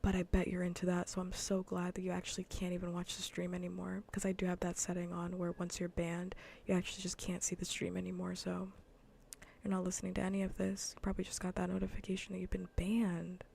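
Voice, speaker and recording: A woman livestreamer chatting casually in a soft, breathy near-whisper, rambling bluntly and sardonically with plenty of swearing in an ASMR style. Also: fairly low pitch, completely flat delivery, fast talker, slight background noise. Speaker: livestreamer hosting an ASMR gaming stream.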